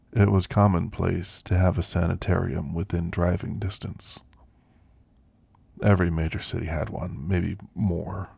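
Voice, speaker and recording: A man playing an elderly, weathered werewolf with a gravelly, husky voice, reminiscing in a wry, understated way in an ASMR roleplay. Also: deep voice, darkish tone, no echo, full character voice. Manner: slow, understated, reminiscing storyteller. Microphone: close, dry microphone.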